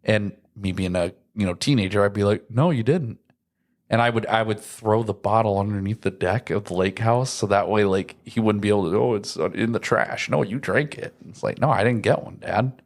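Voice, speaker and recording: Low-voiced guy chatting. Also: normal speed. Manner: rambling, anecdotal, full of slangy asides. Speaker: podcast co-host. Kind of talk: casual chat.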